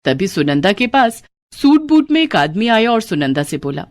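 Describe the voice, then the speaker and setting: A woman narrating a children's cartoon moral story in steady, simple scripted storytelling. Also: mid-range voice, normal speed.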